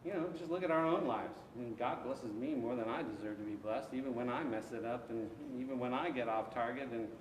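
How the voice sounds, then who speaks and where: A man teaching scripture to his congregation in a relaxed, conversational way, answering questions from the pews. The sound is distant, with a long reverb from the sanctuary and a faint hiss of background noise. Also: mid-range voice, a little fast, hardly any pauses. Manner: conversational, takes questions, frequent restarts and acted asides. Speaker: pastor. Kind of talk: interactive Bible study teaching. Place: church sanctuary. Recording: echoey church hall from far off.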